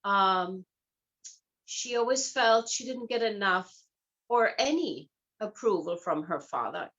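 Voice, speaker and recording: A woman's voice: mid-range voice, lively intonation, slow, a bit bright, lo-fi sound. Lady being interviewed, chatty, explains stuff like she's telling you a story. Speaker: guest, hypnotherapist and life coach. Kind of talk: conversational interview, telling anecdotes.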